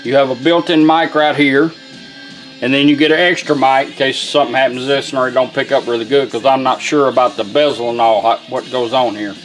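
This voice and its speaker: A man with a Southern US accent giving a casual, plain-spoken, unhurried product demonstration as a radio restorer. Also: mid-range voice, fairly even intonation, faint music behind.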